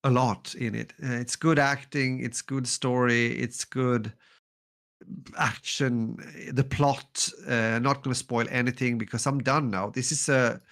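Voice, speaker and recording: A man's voice: medium pitch, steady tone, a little slow, a bit bright. A man co-hosting a casual podcast, easygoing and hesitant. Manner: hesitant, many "uh" fillers and restarts, easygoing. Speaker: podcast co-host.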